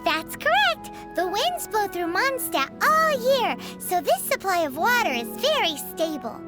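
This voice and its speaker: A woman's voice: very high-pitched, very animated, measured pace, heavily acted. A woman voicing a tiny, cheeky, chatty floating girl companion in a video game, with game music behind. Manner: bubbly, cheeky, refers to herself by name. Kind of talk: video game dub dialogue.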